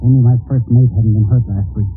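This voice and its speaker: An actor playing a stubborn, burdened clipper ship captain in an old-time radio drama. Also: male voice, mid-range voice, steady tone, quick pace, soft background music, close to an everyday voice. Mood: tense, stubborn. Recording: tinny, faintly noisy old radio recording.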